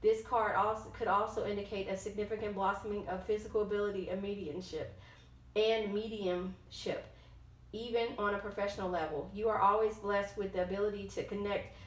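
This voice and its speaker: A woman with a medium-pitched voice gives an informal oracle card reading in a roomy, echoing space. She moves between reading aloud and ad-libbing, haltingly, often tripping on a word and correcting herself. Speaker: tarot card reader, host.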